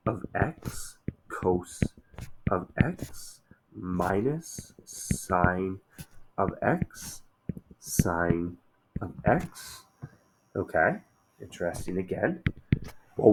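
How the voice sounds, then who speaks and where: Hoarse low-voiced man teaching math. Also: background noise. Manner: conversational, often checks in with "okay?" and "right?". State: hoarse from shouting. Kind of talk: classroom math lesson.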